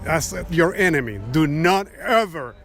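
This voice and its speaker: A man's voice: a little high, expressive, measured pace, a bit bright. A man speaking sternly as an interviewee, issuing a warning.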